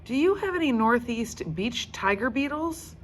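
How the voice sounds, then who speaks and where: An eager, curious schoolgirl, acted out by a woman reading a storybook. The voice is high and swoops about as she shows off in careful, drawn-out words. There is faint noise behind. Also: animated. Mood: eager, polite. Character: eager, curious third-grade girl showing off.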